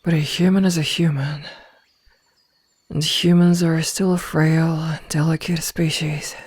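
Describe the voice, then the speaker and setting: A woman playing a possessive, menacingly loving female werewolf in an ASMR roleplay, speaking softly and coldly, often slipping into a whisper. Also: deep voice, flat delivery, breathy edge, no echo. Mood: cool, warning. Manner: cold, callous, deliberate word delivery. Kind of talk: ASMR roleplay, slow intimate delivery.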